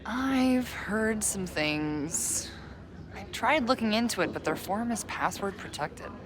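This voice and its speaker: Animated, blunt young woman played by an actress. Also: medium pitch, unhurried, background noise, lightly acted. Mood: curious. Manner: casual, blunt, slangy. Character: blunt, teasing young woman running a town music venue. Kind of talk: naturalistic video game acting. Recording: music under the voice.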